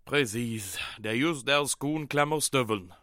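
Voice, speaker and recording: A man's voice: medium pitch, a bit bright, dry recording, studio quality, close to an everyday voice. A man playing a pompous, easily offended German military commandant with a German accent in an old-time radio comedy drama. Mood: aggrieved. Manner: declamatory, peppering speech with German phrases.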